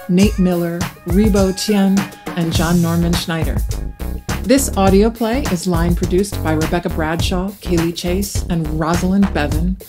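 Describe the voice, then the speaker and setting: A woman announces podcast credits from a script. Her voice sits a little low. Guitar music plays clearly behind her. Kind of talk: scripted narration.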